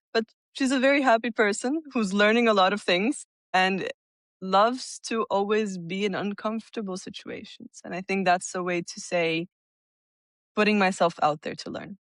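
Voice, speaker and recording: A woman's voice: medium pitch, normal speed, no room sound, studio quality. A young woman in her twenties from Italy and the United States, a podcast guest telling her life story in an open, reflective way. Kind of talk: conversational interview.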